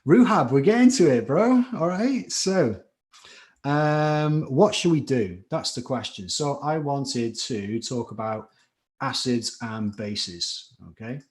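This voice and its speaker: Chatty Scouse chemistry tutor. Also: male voice, mid-range voice. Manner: chatty, encouraging, reads out chat names. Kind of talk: live online class teaching.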